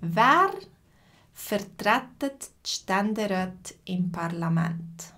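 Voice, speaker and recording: A woman with a Swiss German accent teaching a live stream lesson. She greets viewers by name and explains things slowly and simply, with many fillers. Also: medium pitch, animated, pushed and bright. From Switzerland.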